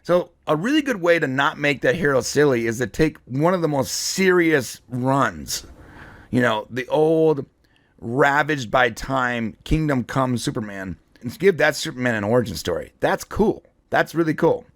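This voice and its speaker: Male host, mid-pitched, conversational and enthusiastic explainer for casual pop culture commentary, dry recording. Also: lively intonation, normal speed, no room sound. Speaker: show host and comic book fan commentator.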